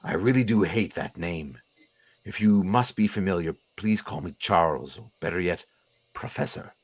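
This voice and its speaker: A man voicing a weary, dignified telepath professor from a comic book, speaking in formal, measured sentences. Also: low-pitched, animated, slightly rough, no echo, full character voice. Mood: grave, formal. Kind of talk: comic book read aloud in character.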